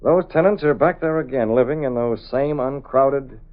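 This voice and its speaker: An actor playing a resolute masked vigilante in vintage radio drama, with a clipped, authoritative, measured delivery. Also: male voice, medium pitch, dull audio, close to an everyday voice. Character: resolute masked male vigilante, cool commanding gentleman. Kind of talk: 1930s-40s radio drama acting. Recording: thin band-limited vintage radio recording.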